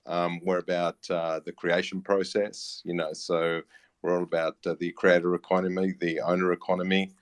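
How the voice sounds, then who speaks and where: A man in his late thirties with an Australian accent talks casually as an interview guest. His voice is low, and his delivery is matey and halting. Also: flat delivery, smooth. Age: late 30s. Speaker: interview guest, music platform founder and teacher.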